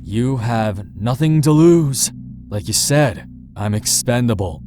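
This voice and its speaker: A defiant male smuggler in a visual novel. His pitch sits in the middle for a man, and he is sullen, curt and proud. Game music plays clearly behind him. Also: animated, slow. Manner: terse, defiant.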